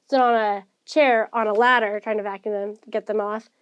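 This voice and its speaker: A woman's voice: medium pitch, fairly even intonation, normal speed, clear, resonant tone, dull audio. A young woman co-hosting a podcast, telling rambling, self-deprecating stories in casual chat. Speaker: podcast co-host.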